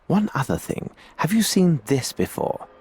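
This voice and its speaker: An actor playing a self-assured, inquisitive British gentleman detective in a video game, with formal, precise speech. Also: a little high, sing-song, unhurried, husky, heavily acted. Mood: coolly probing.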